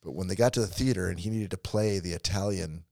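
A man with a very low voice talks casually about a movie, doubling back over his own words as he goes. He sounds close and dry, with no room around him. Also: fast, creaky, dark tone, dry recording. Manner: restarts and repeats words often. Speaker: podcast co-host.

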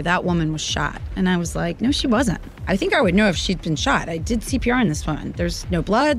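Low-voiced woman urgently retelling events. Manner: vivid, urgent retelling with quoted dialogue. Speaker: nurse and witness. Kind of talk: recounting events in an interview.

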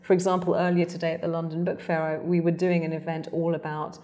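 A woman's voice: lowish voice, little pitch movement, fast, a little mellow. A woman journalist interviewing a guest, speaking articulately and measuredly with occasional hesitation fillers. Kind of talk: interview questions and commentary.

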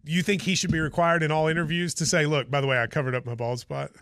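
A man's voice: a little high, fairly even intonation, fast talker, fairly bright tone, dry recording, clean studio sound. A man hosting a podcast in a casual, bantering style. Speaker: podcast host.

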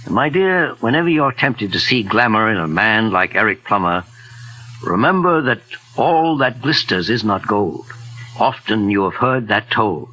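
An old gentleman sleuth in a 1940s radio mystery. His husky voice moves up and down expressively, with a courtly, avuncular manner and a bright edge from the old recording. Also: on the low side, expressive, measured pace, full character voice, poor audio. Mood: kindly. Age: older.

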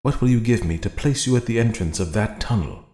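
A man voicing a young male gaoler in a storybook reading, talking in a measured, quietly calculating way. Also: fairly low pitch, lively intonation, reverberant, voice pushed up. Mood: cautious.

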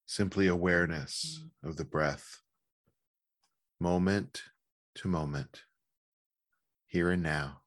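A man leading a guided meditation in a calm, gentle, measured manner with long pauses. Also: sits low, dry recording, clean studio sound.

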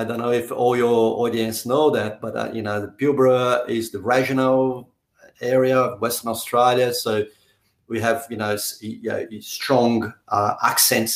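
A man from Brazil, speaking as an interview guest in a halting way, often stopping to search for words and restart. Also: mid-range voice. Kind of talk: conversational interview. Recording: roomy echo.

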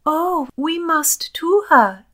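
A woman voicing a girlish, naive young Swedish noblewoman in an audiobook, with excitable, breathy, drawn-out delivery. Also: mid-range voice, pitched above the performer's own voice. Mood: eager.

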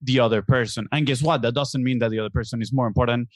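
A man's voice: mid-range voice, steady tone, fast, no room sound. A man in his thirties from Venezuela, earnestly explaining his views as an interview guest and often checking in with "right?". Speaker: guest, business co-founder. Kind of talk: casual interview conversation.

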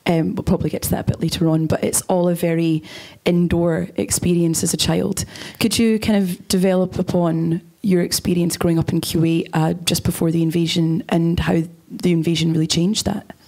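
Woman interviewer, low husky-gravelly voice, soft dark tone, asks stuff slow and careful. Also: flat delivery. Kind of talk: interview questions.

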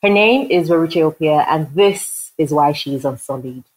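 A woman podcast host with a low voice with a husky edge. She talks in a casual, playful way, moving between interview questions and animated storytelling. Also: normal speed, rough recording. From Nigeria.

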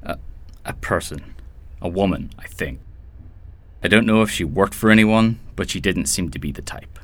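A man playing a nervous, hapless hired pawn held prisoner in an audio drama, speaking haltingly and with resignation. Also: low-pitched, lively intonation. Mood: resigned, hesitant. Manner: hesitant, halting.

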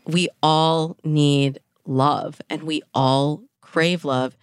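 Low-voiced American woman giving advice. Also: steady tone, extremely slow, clear, resonant tone, no echo, clean studio sound. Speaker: dating coach, podcast guest. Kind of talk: conversational expert advice.

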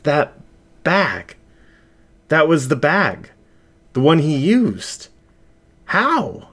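A man's voice: a little high, wildly swooping pitch, very slow, fairly bright tone, pitched above the performer's own voice, full character voice. A man voicing a nervous, evasive squirrel thief on the run in an audio story. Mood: panicked disbelief. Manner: panicked, evasive.

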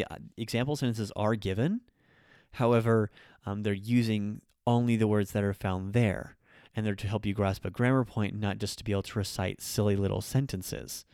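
A man's voice: on the low side, a little mellow, no room sound, clean studio sound. A man hosting a podcast, talking through his views on teaching in an unscripted monologue with many ums and restarts. He is a native English speaker. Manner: frank, opinionated, with frequent ums and restarts. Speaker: podcast host and language teacher.

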